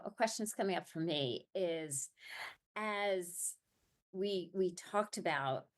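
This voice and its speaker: A woman hosting a podcast interview, reflective and thinking aloud, with fillers like "you know" and "like". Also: lowish voice. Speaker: podcast host. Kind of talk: conversational interview.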